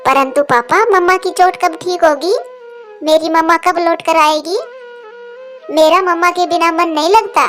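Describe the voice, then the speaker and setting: A woman's voice: extremely high pitch, fairly even intonation, unhurried, a bit bright, faint music behind. A woman voicing an innocent, heartbroken little girl bird with a plaintive, childlike manner in a cartoon story. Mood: wistful. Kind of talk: Hindi cartoon story acting.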